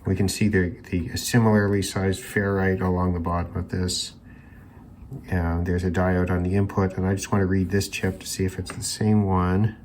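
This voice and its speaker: A man hosting an electronics teardown video, talking casually and unscripted, haltingly thinking aloud as he examines parts. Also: low voice, monotone, a little mellow, slight background noise. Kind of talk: casual unscripted hands-on demonstration talk.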